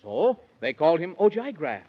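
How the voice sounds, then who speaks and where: A genial storybook narrator reciting in rhyme for children. The delivery is 1930s radio storytelling, on a dull, muffled old recording. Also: male voice, fairly high pitch, big pitch swings, moderate pace.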